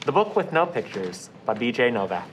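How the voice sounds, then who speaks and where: A woman's voice: extremely low pitch, big pitch swings, brisk, echoey room, a little hiss or hum. Woman reading aloud with playful dismay. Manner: playful, exaggerated outrage and mock dismay. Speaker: storybook reader. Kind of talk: reading a picture book aloud with a child.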